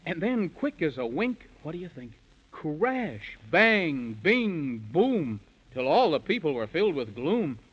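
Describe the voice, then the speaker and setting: A man's voice: on the high side, big pitch swings, slow, breathy edge. A man narrating a children's story in sing-song rhyming verse, with a theatrical 1930s radio delivery. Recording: muffled lo-fi 1930s radio recording.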